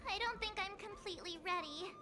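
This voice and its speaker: An actress playing an eager but nervous teenage novice sword fighter in an anime-style video game, perky, earnest and expressive. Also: female voice, very high voice, fairly quick. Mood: anxious.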